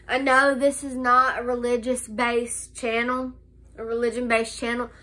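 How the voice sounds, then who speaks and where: Nasal, slow-talking young woman with a cold. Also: on the high side, bright, forceful tone. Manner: slow, halting, many "um"s and restarts. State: nasal from a cold, slight cough. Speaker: vlogger. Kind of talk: casual life update vlog. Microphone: phone camera held close.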